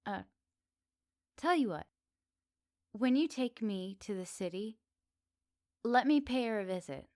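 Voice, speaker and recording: A woman voicing a flirty, kindly, lonely witch in an intimate, softly teasing ASMR roleplay, close to the microphone. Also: on the high side, expressive, unhurried, pauses often, no echo. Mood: kind, eager. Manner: soft, teasing, cozy delivery to one listener. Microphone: close dry microphone.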